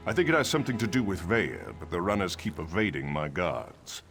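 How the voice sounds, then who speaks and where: Haughty dark elf officer for a game: low, very animated delivery, brusque and emphatic. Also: male voice, brisk, over music. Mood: earnest, businesslike. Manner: curt, condescending, emphatic. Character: brusque male dark elf guard captain, dutiful, haughty. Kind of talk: video game dialogue acting.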